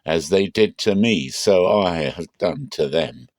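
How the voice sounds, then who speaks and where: A man playing a defiant, mighty warrior in a scripture reading, forceful and declamatory. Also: sits low, lively intonation, takes it slowly, dry recording, heavily acted. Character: defiant, mighty warrior strongman of ancient Israel.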